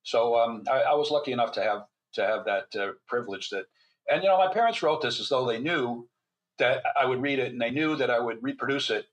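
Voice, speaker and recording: A man's voice: mid-range voice, animated, a little fast, low-quality recording. Give me an older man, a doctor-author guest, telling long stories with wry humor. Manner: chatty storyteller, wry humor, frequent restarts. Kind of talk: casual podcast interview.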